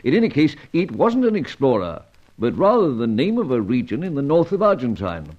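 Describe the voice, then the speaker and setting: A genial spy chief with an urbane, old-fashioned upper-class way of talking. His mid-pitched voice moves up and down freely in classic radio serial style, on a dull, lo-fi recording. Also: wildly swooping pitch, a little fast. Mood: urbane, measured.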